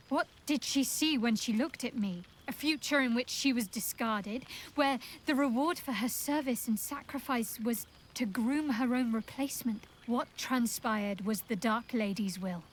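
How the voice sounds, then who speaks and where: A woman playing a devout, commanding young dark priestess in a video game, speaking in a measured, formal, wry and intimate way. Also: a little high, slight background noise, close to an everyday voice. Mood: sober, reflective. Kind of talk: video game character dialogue. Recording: game dialogue over background music. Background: music.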